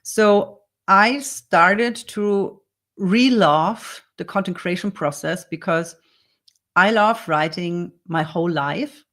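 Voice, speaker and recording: A woman with a German accent, a native German speaker, calmly talking through her answers in English as a copywriter teaching a workshop. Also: on the low side, slow. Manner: earnest, explains step by step in second-language English. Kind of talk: dictated spoken answers in a workshop demo.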